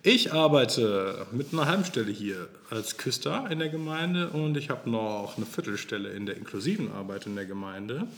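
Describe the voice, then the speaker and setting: A man in his thirties with a mid-pitched voice hosts a relaxed church podcast. He rambles a little, laughs easily and often restarts his sentences. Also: roomy sound. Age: 30s. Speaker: sexton and podcast co-host. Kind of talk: casual chat.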